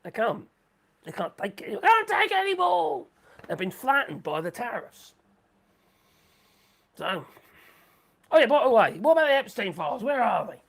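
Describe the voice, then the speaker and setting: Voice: medium pitch, big pitch swings, moderate pace, vocal fry, long pauses, fairly bright tone, slightly rough. A livestream host rambling through sarcastic commentary, saying the voice is going. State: losing voice.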